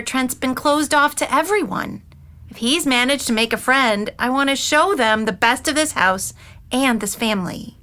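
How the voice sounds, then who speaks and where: An exacting, anxious mother in a naturalistic audio drama. Her middle-aged voice is high and bright, and she speaks with earnest insistence. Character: anxious, exacting, well-meaning mother and aunt.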